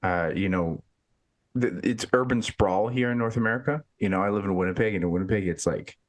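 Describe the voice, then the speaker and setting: A man's voice: on the low side, a little fast. A man hosting a fitness podcast, upbeat and chatty, often repeating words as he thinks. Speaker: podcast host. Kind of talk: casual interview.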